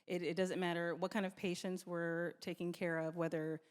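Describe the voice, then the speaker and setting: A woman with a fairly low voice speaks as a nurse at a public event. She is reflective and circles back to key phrases, with long hall reverb around her. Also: little pitch movement, moderate pace, clear, resonant tone. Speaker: nurse, association representative. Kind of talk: impromptu public remarks. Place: large indoor hall at a live event.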